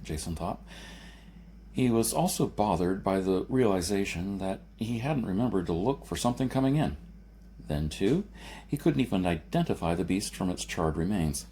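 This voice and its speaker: A man's voice: low voice, warm tone, a little hiss or hum. A man reading a science fiction novel aloud as scripted narration, now and then stumbling and correcting himself. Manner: reads with occasional stumbles and self-corrections. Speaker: audiobook reader.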